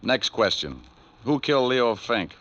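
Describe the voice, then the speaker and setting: A man's voice: medium pitch, big pitch swings, unhurried, a bit bright. A man playing a wisecracking, sardonic New York private detective in a 1940s radio drama, hardboiled and flippant. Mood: cocky, threatening. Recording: muffled old radio broadcast recording.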